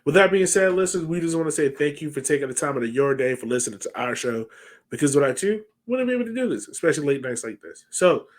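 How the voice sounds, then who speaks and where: A man's voice: on the high side, lively intonation, quick pace. Easygoing American man hosting a podcast. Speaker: podcast host. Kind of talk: casual sports podcast chat. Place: home room, indoors, on a video call.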